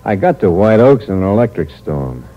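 A man's voice: low-pitched, sing-song, normal speed. A man acting a cynical, hard-boiled American drifter who narrates his crime in terse, wry 1940s radio noir style. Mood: grim, cynical. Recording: muffled, noisy old radio recording.

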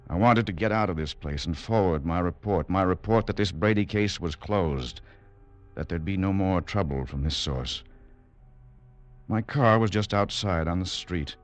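An actor playing a composed, coolly secretive military doctor in an old radio drama. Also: male voice, low voice, moderate pace, lightly acted. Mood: brooding. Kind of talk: 1950s radio drama acting and narration.

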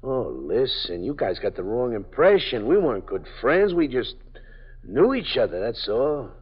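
An actor playing a nervous, evasive small-time parolee who stammers and pleads, in a 1950s radio drama. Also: male voice, medium pitch, sing-song, moderate pace, slightly rough. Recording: dull lo-fi old radio broadcast.

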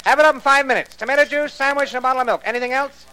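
A man's voice: very high voice, very fast, bright, forceful tone, smooth, low-quality recording. An actor playing a brusque male diner counterman taking a phone order in a 1940s radio drama. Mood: brisk.

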